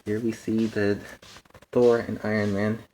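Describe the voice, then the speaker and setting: A man's voice: on the low side, level tone, a little slow, warm tone, slight background noise, rough recording. A man in a superhero persona reading a children's storybook aloud, with chatty, playful asides between the passages.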